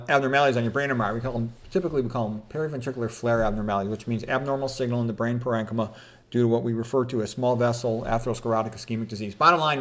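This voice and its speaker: Emphatic man giving an informal health talk. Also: mid-range voice. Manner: emphatic, opinionated, repeats points for stress. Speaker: physician presenter. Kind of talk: informal health lecture, reading quotes aloud with commentary.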